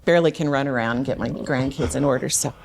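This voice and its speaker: A woman's voice: a bass voice, quick pace, vocal fry, a little mellow. A woman in her fifties or older speaking casually and candidly as a dating show contestant.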